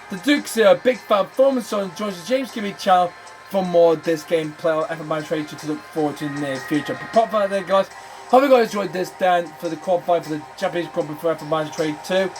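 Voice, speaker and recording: High-voiced man commentating a racing game. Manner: repetitive, says "then" and "I'm afraid" constantly. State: losing his voice. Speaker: gaming channel host.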